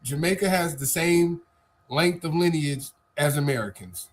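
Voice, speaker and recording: A man's voice: fairly high pitch, unhurried, slight background noise. A man co-hosting a live stream talk, arguing assertively with rhetorical questions and repeated starts. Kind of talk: casual debate chat.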